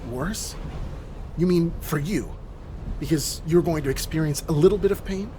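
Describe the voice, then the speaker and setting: A man's voice: fairly high pitch, moderate pace, warm tone, natural voice. Man playing a bitter young man amid train noise. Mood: bitter sarcasm. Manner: sarcastic, confrontational, brooding. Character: bitter, weary young man facing death. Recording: noisy subway train ambience.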